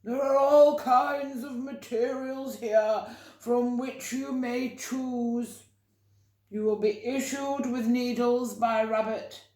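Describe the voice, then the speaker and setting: A woman's voice: on the high side, warm tone, reverberant, voice pushed up. A woman voicing a pompous, all-knowing male elephant in a storybook, issuing orders in a stretched-out, formal way.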